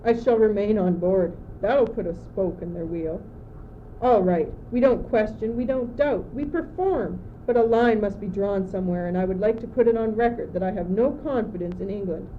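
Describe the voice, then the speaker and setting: A woman's voice: medium pitch, normal speed, a little hiss or hum, natural voice. A teenage girl playing a gloomy, confused, doubting young courtier in an amateur school radio play, plaintive and theatrical, on an old muffled recording. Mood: defiant, fretful. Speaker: student actor.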